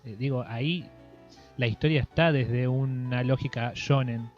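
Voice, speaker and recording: An Argentine man hosts a casual interview in a reflective, halting way. His voice comes through a dull, lo-fi recording. Faint music plays behind him. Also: medium pitch, steady tone. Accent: Argentine Spanish. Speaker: interviewer, host.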